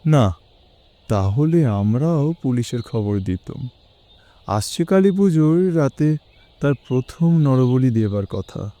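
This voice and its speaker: Very dark-toned troubled man. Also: medium pitch, expressive. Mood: troubled, bewildered. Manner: literary, measured storytelling. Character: troubled, bewildered male client recounting a disillusioned past. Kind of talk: audio story acting.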